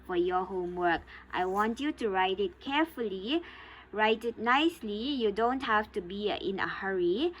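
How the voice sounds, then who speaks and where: A woman's voice: medium pitch, expressive, fairly bright tone, a little hiss or hum, low-quality recording. A woman teaching vocabulary to young pupils, repeating each definition and checking understanding with "okay?". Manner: slow and deliberate, repeats each definition, ends phrases with "okay?". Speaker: school teacher.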